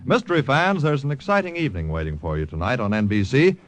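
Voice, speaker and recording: Animated American old-time radio announcer, man. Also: medium pitch, fast talker, dry recording. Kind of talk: scripted 1950s radio credits announcing. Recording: old radio recording, faint music.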